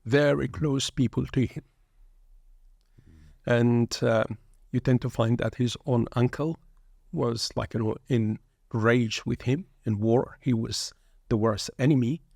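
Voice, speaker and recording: Male shaykh, gravelly and level, preacherly podcast guest on a dry mic. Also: mid-range voice, steady tone, slow pace, darkish tone, no room sound. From born in England, raised in Sudan. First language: Arabic. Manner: earnest, preacherly, peppered with Arabic phrases. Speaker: guest shaykh, pilgrimage group leader. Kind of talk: reflective religious podcast talk.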